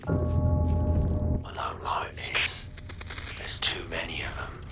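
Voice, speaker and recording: An actor playing a sly, gruff underworld informant in a video game, with a breathy, whispery growl and a conspiratorial manner. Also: male voice, drawn-out words, echoey room, far from the mic, loud music behind the voice. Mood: urgent, wary. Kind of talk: video game character acting.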